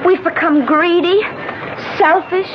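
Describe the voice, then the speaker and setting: High-voiced, desperate, scheming woman. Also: steady tone, slow. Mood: urgent. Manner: theatrical, urgent, emotional. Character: desperate, scheming, spirited woman pleading for escape. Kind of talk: 1970s radio drama acting. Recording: dull, noisy 1970s radio recording.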